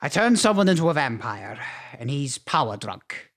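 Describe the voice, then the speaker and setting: A man's voice: mid-range voice, sing-song, moderate pace, a bit bright. A man playing a centuries-old vampire count, a fretful and formal scoundrel, in a campy horror-comedy film. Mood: sheepish.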